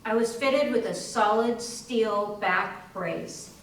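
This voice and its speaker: Slow, echoey woman playing suffering young woman. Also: mid-range voice, natural voice. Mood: somber, pained. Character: suffering young radium factory woman recounting her illness. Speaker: community theatre actor. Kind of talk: readers theater first-person monologue. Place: room at a live library reading. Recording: echoey room, distant mic, faint noise.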